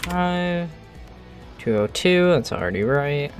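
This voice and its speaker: A game streamer with a medium-pitched voice talks slowly and casually, half to themselves. The delivery is loose and conversational, like someone thinking aloud while they work. Music plays faintly behind the voice. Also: lively intonation. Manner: mutters numbers and thoughts aloud to self. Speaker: live streamer modding a video game.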